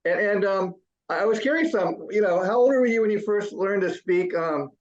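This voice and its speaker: A man's voice: sits high, normal speed, muffled recording. A man hosting an interview, chatting casually and rambling with lots of ums and restarts. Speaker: interview host.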